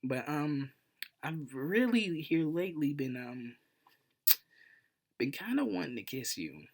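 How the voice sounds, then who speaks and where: A man telling a personal story casually as a vlog host, in an informal, animated way with self-deprecating humor. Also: a little high, takes it slowly, vocal fry. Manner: animated retelling, acts out dialogue. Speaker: vlogger, channel host.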